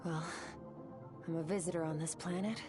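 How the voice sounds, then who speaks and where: An actress plays an aloof female swordmaster from beyond the stars, her voice set lower than most women's. She speaks in a clipped, matter-of-fact way, with game music underneath. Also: steady tone, normal speed, natural voice. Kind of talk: video game cutscene dialogue.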